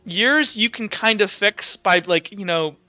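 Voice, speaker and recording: A man's voice: high-pitched, a little slow, sharp tone. A man running an online tabletop roleplaying game as game master, chatting and explaining things informally. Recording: roomy echo, dull lo-fi sound. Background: music.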